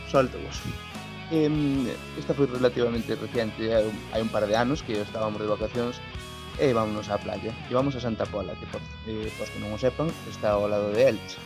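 A man with a Galician accent rambles through a joking, loose story in a voice message. His pitch sits mid-range, and clear music plays under him throughout. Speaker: listener sending an audio segment. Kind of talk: casual recorded monologue.

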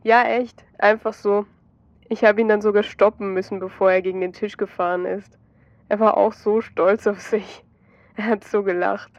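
A woman voicing a tired ex-husband with an Austrian accent, talking quietly and drowsily. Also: medium pitch, flat delivery, moderate pace, dry recording, muffled recording, lightly acted. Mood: warm. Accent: Austrian German. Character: tired, sleepy ex-husband, softening.

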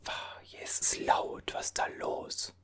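A performer whispering as a bewildered time traveler from an older era, puzzling over strange objects in a halting voice full of restarts. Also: fairly bright tone, close to an everyday voice. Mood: puzzled. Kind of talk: whispered ASMR roleplay.

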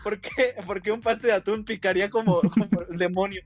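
A man co-hosts a casual chat. His voice sits high for a man, and he tells stories with frequent laughter over faint music. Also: brisk. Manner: laughing, storytelling. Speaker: podcast co-host.

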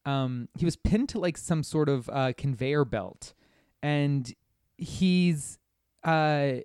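Man, fairly high voice, casual chat, detailed storyteller, dry studio-like sound. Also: slow, a little mellow, clean tone, dry recording. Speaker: podcast guest or host discussing a video game.

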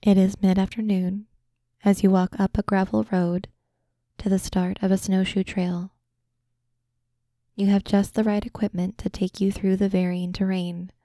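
A woman leads a guided imagery meditation as a podcast host. Her voice is dark and relaxed, with a very even, flat melody. She speaks gently and soothingly, taking her time, and the sound is dry, with no room around it. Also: medium pitch, no echo. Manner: gentle, calming, soothing, unhurried.

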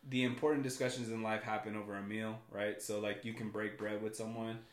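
A man's voice: on the low side, flat delivery, brisk, reverberant. A man from California, a guest on a casual podcast, talking in a rambling way with lots of fillers and repeated words.

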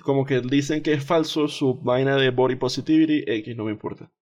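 A man's voice: medium pitch, fairly even intonation, brisk, darkish tone. A young man with a Venezuelan accent co-hosting a casual gossip podcast, talking in informal slang sprinkled with English words. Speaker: podcast co-host. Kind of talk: casual gossip chat, partly reading out posts.

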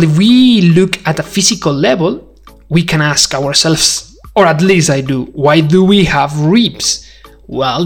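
A man from Spain with a Spanish accent, hosting a podcast as a conversational, educational monologue, speaking emphatically and putting rhetorical questions straight to the listener. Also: fairly high pitch. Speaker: podcast host.

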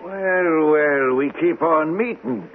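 Folksy police sergeant on tinny radio. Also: male voice, on the high side. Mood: folksy, chiding. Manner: halting, drawn-out, folksy. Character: folksy, fatherly male police sergeant, mildly chiding. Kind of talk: 1970s radio drama acting. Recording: noisy, tinny old radio broadcast recording.

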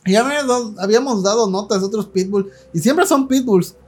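A man hosting a podcast in casual chat, with a Mexican Spanish accent, slangy and quick to laugh as he reads comments. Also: high-pitched, husky. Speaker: podcast host.

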